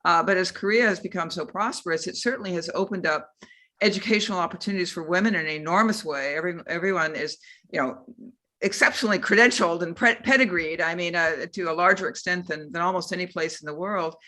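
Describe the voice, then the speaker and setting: A woman's voice: lowish voice, quick pace, bright, forceful tone. A woman moderating a panel discussion who talks in a discursive, editorializing way, with many restarts as she builds her questions.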